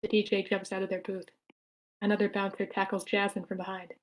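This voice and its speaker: A woman's voice: medium pitch, completely flat delivery, a little fast. A woman reading stage directions in a matter-of-fact way at a screenplay table read.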